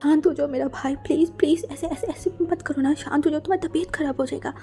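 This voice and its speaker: A woman voicing a caring elder sister who soothes and pleads gently. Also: sits high, fast talker, soft background music, natural voice. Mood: soothing.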